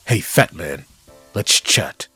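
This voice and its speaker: An undead antihero with an extremely low voice and a sharp, forceful edge. He delivers commanding threats in a comic book audio drama, with music faintly behind him. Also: lively intonation, slow pace, slightly rough, heavily acted. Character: menacing undead male antihero threatening crooks and cops.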